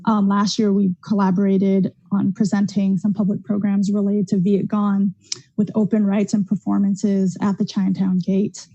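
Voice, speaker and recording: Woman, arts center director, even monotone delivery, mellow dark timbre, careful with many fillers, dull lo-fi video call sound. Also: medium pitch, normal speed, no echo. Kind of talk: prepared remarks on a video call.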